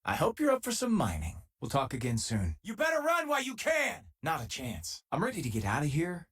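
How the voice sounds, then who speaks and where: A man playing a loyal, easygoing former miner and spaceship crewmate in a video game, with a husky, casual, wry delivery. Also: a little high, wildly swooping pitch, a little fast, a bit bright, heavily acted. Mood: urgent, shouting. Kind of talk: video game companion dialogue.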